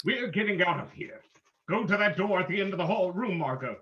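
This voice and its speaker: A man's voice: sits high, expressive, a little fast, sharp tone. A man playing a stern, commanding crime-fighting hero in a dramatic old-time radio drama reading. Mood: urgent. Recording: dull lo-fi sound.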